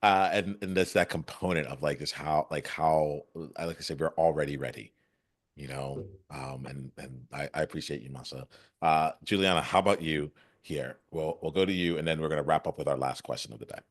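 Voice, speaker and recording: A man's voice: very deep voice, brisk. A man hosting a panel discussion, upbeat and affirming, often repeating words as he hands the floor to guests. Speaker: podcast host.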